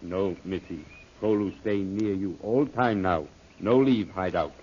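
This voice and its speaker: A loyal native servant from an old-time jungle radio serial. His voice is husky and he speaks in broken pidgin, naming himself in the third person. The recording is dull and lo-fi. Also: low-pitched, unhurried, darkish tone. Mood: stubborn.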